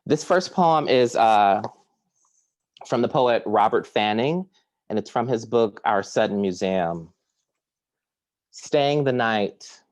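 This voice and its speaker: A man giving a poetry reading from home, with a measured, deliberate delivery. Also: fairly low pitch, expressive, dry recording. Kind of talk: poetry reading with casual introduction. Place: at home on a remote video reading.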